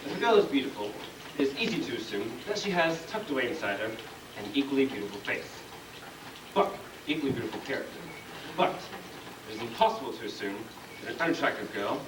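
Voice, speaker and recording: A man's voice: medium pitch, natural voice. A man playing a pompous, exasperated storybook king in a stage comedy, speaking with dry deliberation. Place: school stage, live play performance. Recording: distant, noisy, echoey room recording of play.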